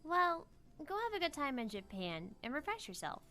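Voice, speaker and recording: A woman reading character dialogue aloud from a visual novel in an expressive style. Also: sits high, moderate pace, soft background music. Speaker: let's play co-host voicing character dialogue.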